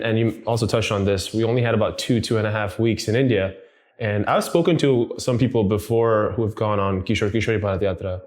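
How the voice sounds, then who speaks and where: A man in his twenties talking thoughtfully on a podcast, often saying "like" and "you know". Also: fairly low pitch. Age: 20s. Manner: reflective, uses "like" and "you know". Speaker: podcast guest. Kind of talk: casual podcast chat.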